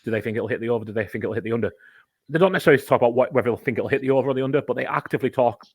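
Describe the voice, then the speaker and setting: A man's voice: medium pitch, racing, darkish tone, breathy edge, no room sound. A man, a podcast guest, explaining a topic in casual chat, talking at length and giving examples.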